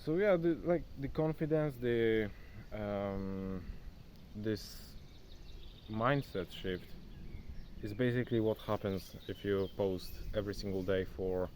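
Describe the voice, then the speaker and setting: A man's voice: lowish voice, takes it slowly, darkish tone, slight background noise. A young man who is not a native English speaker, vlogging casually outdoors into his phone, chatty and loose, calling the viewer "bro.". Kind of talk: casual self-recorded vlog talk. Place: outdoors, rural spot near a forest. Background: faint insects and outdoor nature sounds.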